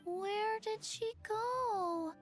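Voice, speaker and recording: A woman's voice: very high voice, exaggerated voice. A sleepy little zombie girl. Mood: puzzled. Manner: slow, flat and drowsy. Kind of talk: game voice acting. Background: background music.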